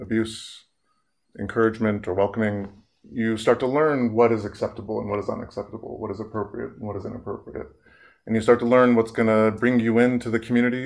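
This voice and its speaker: A man speaking as a therapist in an earnest, measured public talk, pausing often and using fillers. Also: lowish voice.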